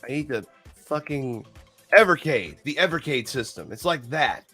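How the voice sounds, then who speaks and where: A man with a head cold, losing his voice, chatting excitedly on a livestream, cursing and tripping over his words. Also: medium pitch, normal speed, husky, soft background music. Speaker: podcast co-host. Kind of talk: casual livestream chat.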